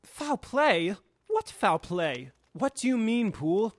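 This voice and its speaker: A student actor playing a proper, anxious gentleman lawyer in a radio drama, a man speaking formally and haltingly. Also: sits high, big pitch swings, no room sound, heavily acted. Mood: alarmed, stern.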